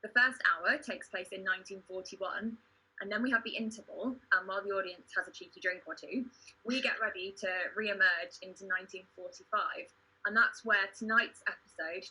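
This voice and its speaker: A woman hosts in a casual presenting style. Her voice is a little low, very bright and forward, with a husky edge. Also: poor audio. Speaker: livestream host, playwright and actor.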